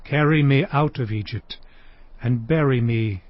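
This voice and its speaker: An actor playing an aged, weary Hebrew patriarch near death in a solemn, halting audio Bible drama. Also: male voice, mid-range voice, natural voice. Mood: solemn pleading. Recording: dull lo-fi audio.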